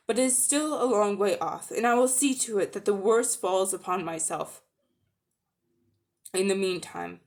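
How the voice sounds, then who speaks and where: A woman voicing a great male lion, regal and stern, speaking low in grave, measured, formal phrases. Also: close to an everyday voice.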